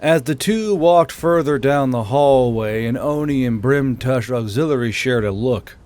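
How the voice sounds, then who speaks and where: A man's voice: mid-range voice, a little slow. A man reading scripted fiction narration as the narrator of an audiobook. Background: soft background music.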